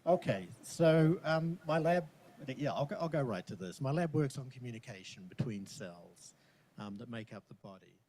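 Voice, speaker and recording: Soft-spoken man giving a campus talk. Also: medium pitch, animated, normal speed, slight background noise. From South Pacific. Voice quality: soft-voiced, does not project well. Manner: casual, self-deprecating humor with frequent ums. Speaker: researcher and professor. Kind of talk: speaking at a public panel.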